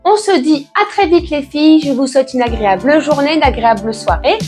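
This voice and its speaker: Chatty pregnant woman in her thirties, fairly high voice. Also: animated, brisk, darkish tone, faint music behind. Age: 30s. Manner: chatty, reassuring, many fillers and restarts. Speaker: vlogger answering viewer questions. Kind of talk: casual chat.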